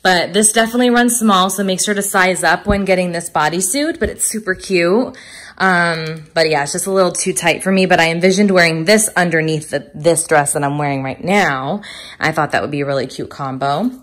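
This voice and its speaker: Chatty woman vlogger with a lowish voice. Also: brisk, a bit bright. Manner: chatty, informal, upbeat enthusiasm. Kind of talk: casual vlog chat, clothing try-on commentary. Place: furnished rooms at home, indoors. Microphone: phone held at arm's length.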